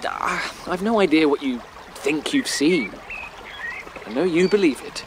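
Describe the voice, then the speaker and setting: A man's voice: on the high side, expressive, unhurried, background noise. A man playing a protective, sensible young male rabbit in a radio drama, reassuring yet sceptical with his younger brother. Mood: exasperated, protective.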